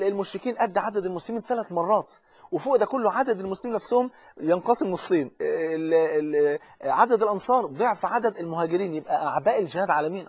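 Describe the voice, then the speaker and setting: Rapid, husky Egyptian preacher, a man. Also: high voice, a little mellow. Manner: fervent, urgent, addresses the listener directly; frequent repetitions and restarts. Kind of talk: religious lesson.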